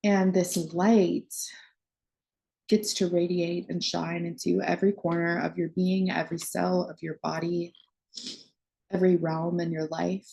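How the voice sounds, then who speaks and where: Female meditation guide, very slow delivery with gravelly fry, soothing and spacious. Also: lowish voice, little pitch movement, mellow, low-quality recording. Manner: soothing, unhurried phrasing with long pauses.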